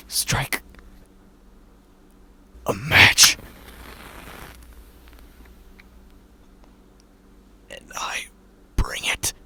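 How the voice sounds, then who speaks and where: An actor playing a haunted, grieving, quietly unhinged husband who narrates a horror audio drama in halting fragments with a breathy, gravelly whisper. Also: male voice, on the high side, full character voice. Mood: strained, pained. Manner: halting, fragmented, drawn-out phrases.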